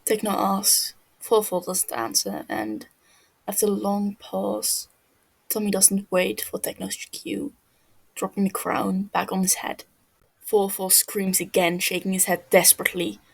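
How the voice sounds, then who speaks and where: A woman reading a fan fiction story aloud in a hesitant, halting narration. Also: medium pitch, gravelly, slightly rough, low-quality recording.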